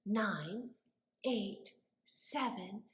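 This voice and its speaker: A woman leading story time for young children as a librarian, with animated wonder and a sing-song, encouraging way of talking. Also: medium pitch, extremely slow. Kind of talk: children's story time with rhymes, questions and songs. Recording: echoey room.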